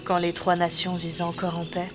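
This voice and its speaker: A woman's voice: lowish voice, monotone, a little fast, noisy background, lightly acted. A woman playing a composed, nostalgic immortal in a fantasy audio drama, with formal, poised speech. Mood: warm nostalgia. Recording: loud music behind the voice.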